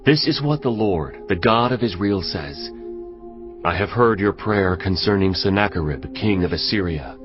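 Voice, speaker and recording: A man's voice: deep voice, animated, normal speed, lo-fi sound. A man playing a stern, authoritative prophet proclaiming judgement in a dramatised audio Bible, solemn and commanding. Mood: stern rebuke. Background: music.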